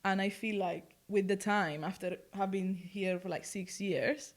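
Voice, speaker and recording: A woman's voice: mid-range voice, fairly even intonation, unhurried. A young woman from Spain with a Spanish accent, co-hosting a podcast and chatting casually with lots of fillers. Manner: chatty, laughing, many fillers. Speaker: podcast co-host. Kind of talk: casual chat. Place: London.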